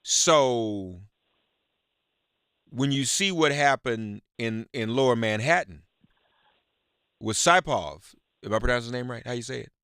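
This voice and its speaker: A man hosting a live political call-in radio show, halting and emphatic, repeating words for stress as he reads news and talks with callers. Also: mid-range voice, expressive, a bit bright, smooth, dry recording, studio quality.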